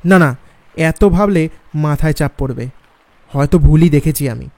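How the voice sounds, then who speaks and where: A man playing an anxious traveller who tells a horror story in the first person, dramatic and expressive. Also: on the high side, moderate pace, close to an everyday voice. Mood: frightened. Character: anxious male traveller recounting his night crossing.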